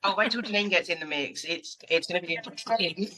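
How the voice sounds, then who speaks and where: A chatty woman joins the interview as co-host and laughs along with the others. She talks fast, and her voice has a bright, sharp edge. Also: lowish voice, rough recording. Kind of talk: casual interview chat.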